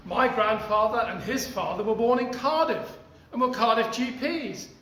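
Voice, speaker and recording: A man in his sixties with a posh English accent, reading his poetry outdoors in an animated, storytelling way. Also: very high voice, moderate pace, roomy sound, slight background noise. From East Africa. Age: 60s. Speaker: poet. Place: outdoors outside a cafe.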